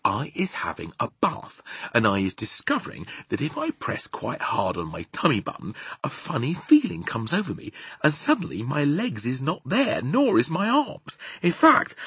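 A man voicing an excitable, boastful young boy recounting fantastic things in a children's story. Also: a little high, moderate pace, slightly rough, no room sound, voice pushed up, exaggerated voice. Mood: amazed. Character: excitable, boastful young schoolboy telling his fantastic dreams.

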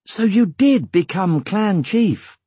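A man's voice: sits high, expressive, takes it slowly, dark tone, slightly rough, dry recording, pitched above the performer's own voice, exaggerated voice. A man playing a polite, curious young hero in an audiobook, with a courteous, inquiring manner. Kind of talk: audiobook character voice.

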